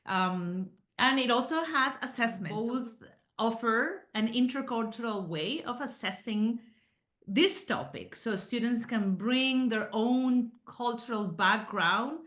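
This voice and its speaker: A woman's voice: a little high, expressive, slow pace, a bit bright. A woman from Medellín with a Colombian paisa accent, explaining things in an enthusiastic, conversational way as an author and teacher. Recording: roomy, echoey sound.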